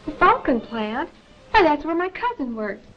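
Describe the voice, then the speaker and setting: A woman's voice: sits high, sing-song, normal speed. An actress playing a friendly, inquisitive townswoman making small talk in a 1940s film. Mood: curious. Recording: muffled 1940s film soundtrack.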